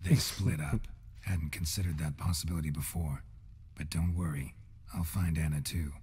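An actor playing a stoic, world-weary middle-aged monster hunter in a video game, terse and deadpan. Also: male voice, extremely low pitch, moderate pace, breathy edge, no echo, heavily acted. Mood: dry, deadpan. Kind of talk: dramatic game cutscene acting.

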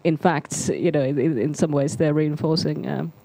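A middle-aged woman speaks on a seminar panel with a mellow, warm tone and very little room echo. She explains her points off the cuff, often repeating words as she builds them. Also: low voice, fairly even intonation, normal speed, vocal fry. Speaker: academic panelist. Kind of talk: spontaneous panel discussion remarks. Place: seminar auditorium on stage. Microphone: handheld microphone.

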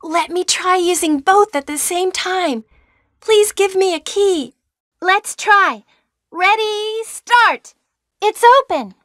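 A woman's voice: extremely high pitch, slow, fairly bright tone, dry recording, clean studio sound, exaggerated voice. An actress playing an eager young female medic in a stilted nineties video game. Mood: focused.

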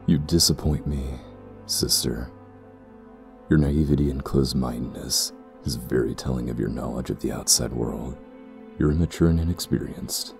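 Man voicing haughty warrior clansman, rock-bottom deep voice, cold, formal, audio drama villain, soft music behind. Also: moderate pace, gravelly. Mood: cold contempt. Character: cold, condescending, ruthless warrior clansman scolding his sister. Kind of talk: scripted audio roleplay acting.

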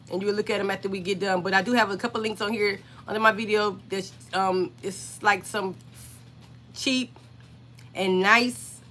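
A woman in her forties, hoarse and scratchy from being sick, chatting on a livestream from her home craft room and greeting viewers by name. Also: mid-range voice, sharp tone. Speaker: craft channel host.

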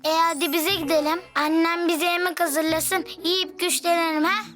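A woman's voice: extremely high pitch, flat delivery, moderate pace. An actress playing a cheerful, playful little boy in a cartoon, exclaiming in a childlike way over music. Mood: eager.

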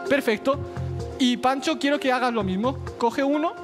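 A man's voice: very high voice, normal speed. Young man, twenties, Valencian Spanish accent, confident stage patter, headset mic, music behind. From Spain. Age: 20s. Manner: confident, smooth, addresses judges directly. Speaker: talent show contestant. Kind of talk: stage patter while performing mentalism. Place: large television studio stage. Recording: broadcast audio with clear music behind.

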